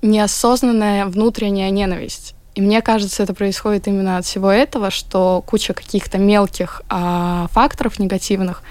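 A woman's voice: mid-range voice, fairly even intonation, normal speed, few pauses, darkish tone, no echo. A teenage schoolgirl from Latvia, earnestly explaining her views in an interview. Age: teens. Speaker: guest, schoolgirl. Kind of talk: interview answers.

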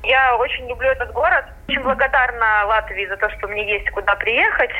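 A woman's voice: fairly high pitch, steady tone, brisk. A woman in her twenties, a guest in a phone interview, speaking in an articulate, composed way. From Latvia. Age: 20s. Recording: tinny phone line.

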